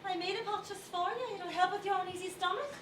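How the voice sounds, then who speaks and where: An actress playing a sweet, cheerful, attentive wife in a stage play, speaking in a gentle, chatty way. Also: female voice, very high-pitched, fast talker. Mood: cheerful, caring. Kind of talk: stage musical acting. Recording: roomy, distant stage recording, faint noise.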